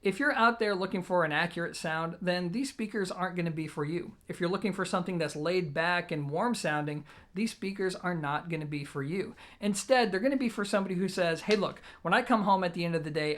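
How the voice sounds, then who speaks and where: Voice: medium pitch, fairly bright tone. A video host presenting an audio gear review, explaining things informally and directly to viewers. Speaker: product reviewer and show host.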